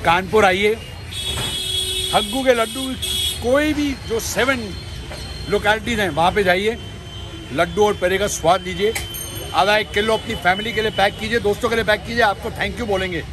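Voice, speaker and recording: Animated high-voiced storytelling man. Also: normal speed, pauses often, noisy background. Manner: animated storyteller, explains with emphasis. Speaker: food show co-host. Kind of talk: casual storytelling in a food vlog. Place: busy street market outdoors. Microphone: phone camera at close range.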